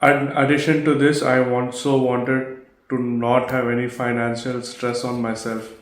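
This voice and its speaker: A man's voice: mid-range voice, little pitch movement, a little slow. Indian-accented man in an echoey room. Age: 30s. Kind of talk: personal story told to camera. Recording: echoey room with background noise.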